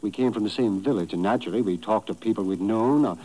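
A man's voice: on the low side, fast, warm tone, close to an everyday voice, poor audio. An actor playing a worried, polite immigrant businessman in a 1950s radio drama, speaking formally with hesitant stammers. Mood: anxious, hesitant. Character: anxious, polite immigrant businessman, victim of extortion.

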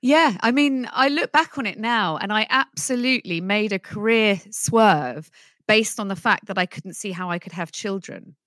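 A woman's voice: mid-range voice, moderate pace, a bit bright, no echo. A woman who grew up in the Netherlands, speaking English and Dutch from childhood, telling her story as a guest in a chatty, humorous way. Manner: chatty and humorous, laughs often. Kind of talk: casual interview conversation.

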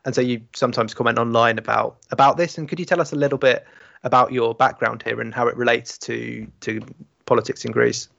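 A man's voice: mid-range voice, steady tone, fairly quick, creaky, fairly bright tone. A man hosting a podcast, asking long, hesitant questions full of hedges. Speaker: podcast host. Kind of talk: interview questions. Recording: slightly muffled recording.

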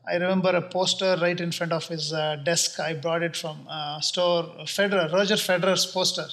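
High-voiced Indian man, earnest and measured. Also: steady tone, fairly bright tone. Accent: Indian English. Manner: earnest, measured, pauses with many uh and um fillers. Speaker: real estate investor guest. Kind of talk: casual interview answers.

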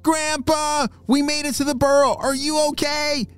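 A man voicing Jackson, a young boy tortoise who speaks in a high, anxious, apologetic way. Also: flat delivery, moderate pace, dry recording, faint music behind.

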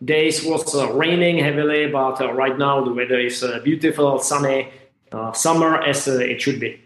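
A man from the Republic, a martial arts and strength instructor talking as a guest in a casual interview, halting and earnest with many fillers. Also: mid-range voice, fairly bright tone. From Czech Republic. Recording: roomy echo.

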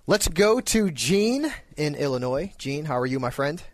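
A man's voice: on the high side, unhurried. A man co-hosting a sports radio show, joking with his co-host and giving fantasy football advice. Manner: animated, joking banter.

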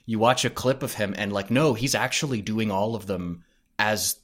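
A low-pitched man answers questions as an interview guest. He talks casually and hesitantly, working out his thoughts as he goes. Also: normal speed, a bit bright. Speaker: podcast creator, interview guest.

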